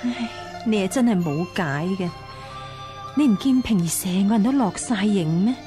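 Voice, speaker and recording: An actress playing a practical, blunt first wife in a radio drama, matter-of-fact and admonishing. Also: female voice, medium pitch, moderate pace, warm tone, soft background music, close to an everyday voice. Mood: concerned, admonishing. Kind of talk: Cantonese radio drama acting.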